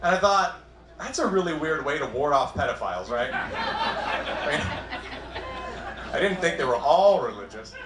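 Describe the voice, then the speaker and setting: A man's voice: fairly high pitch, expressive, moderate pace, long pauses, background noise. A man in his twenties from New England with a New England accent, doing a wry, self-deprecating stand-up set on a comedy club stage. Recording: echoey club room.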